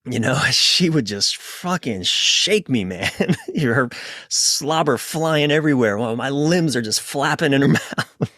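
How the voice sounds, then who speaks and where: A man's voice: mid-range voice, animated, a bit bright, dry recording. Man talking candidly, very dry close sound. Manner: informal, profane, halting and emphatic. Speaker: guest, relationship coach and author. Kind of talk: casual podcast conversation, personal storytelling.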